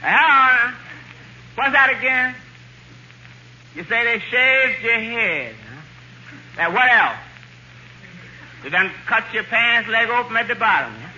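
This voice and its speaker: A shady radio-comedy lawyer, breezy and callous. His very high, piercing voice swings up and down through every wisecrack. It sounds like a dull old broadcast. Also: expressive, sharp tone, exaggerated voice. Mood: breezy, mock-concerned. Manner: breezy, drawn-out setups and punchlines. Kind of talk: 1940s radio comedy acting. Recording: muffled old radio broadcast with faint noise.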